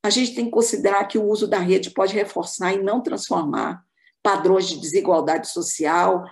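A Brazilian woman giving a formal, measured academic talk as a panelist on an online call. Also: mid-range voice, steady tone, vocal fry.

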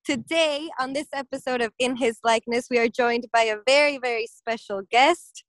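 A woman's voice: on the high side, moderate pace, no echo, soft background music. A woman co-hosting an interview, asking questions in an encouraging way. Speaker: co-host.

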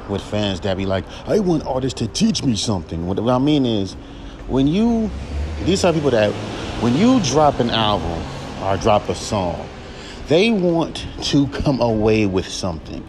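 A young man with a droll baritone voice, ranting bluntly and profanely on his solo podcast. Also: noisy background. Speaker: podcast host and rapper.

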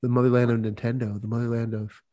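Mellow-voiced man chatting on a podcast. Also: medium pitch, flat delivery, quick pace. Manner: rambling, laughs often, says "like" a lot. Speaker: podcast co-host. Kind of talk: casual chat.

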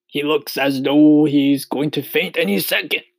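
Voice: mid-range voice, unhurried, no echo, voice pushed up. A performer voicing a boastful, cheeky male centipede in a storybook, bragging and teasing. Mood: jovial.

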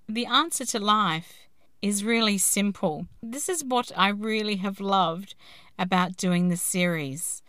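A woman's voice: medium pitch, bright, forceful tone, no room sound, clean studio sound. A woman hosting a podcast, giving a thoughtful, musing introduction. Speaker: podcast host.

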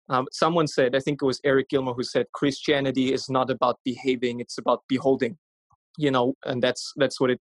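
A man's voice: medium pitch, no echo. A man in his twenties from Austria, a podcast guest telling his faith story earnestly and casually. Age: 20s. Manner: earnest, warm-hearted storytelling with fillers. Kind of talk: casual testimony.

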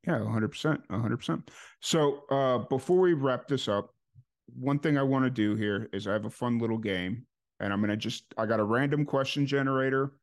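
A man hosts a podcast in a relaxed, casual way. His questions ramble and restart, with plenty of uhs between them. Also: medium pitch, a little fast. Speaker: podcast host. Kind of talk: casual interview chat.

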